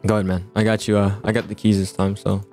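A man's voice: on the low side, level tone, fast talker, warm tone. A man chatting casually in an improvised game roleplay, often stammering and repeating his words. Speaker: host of a roleplay gaming video. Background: music.

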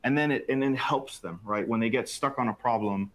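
A man's voice: medium pitch, brisk, a bit bright. A man in his thirties on a panel in a factory studio, explaining with frequent restarts and repeated words, on a lapel mic. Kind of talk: panel discussion. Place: bright open factory studio set.

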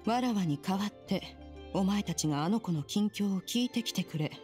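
A woman's voice: medium pitch, expressive, normal speed, a little mellow, faint music behind, close to an everyday voice. A woman voicing a proud, aloof ancient adept woman who speaks in an archaic, composed way. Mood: hushed. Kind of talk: Japanese game dub.

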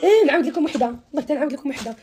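Rapid-talking Moroccan woman, high voice. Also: faint music behind. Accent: Moroccan Arabic. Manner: animated, emphatic, gestures a lot while talking. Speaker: social media personality. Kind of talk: casual live-stream chat to followers. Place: small indoor room. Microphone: phone at arm's length.

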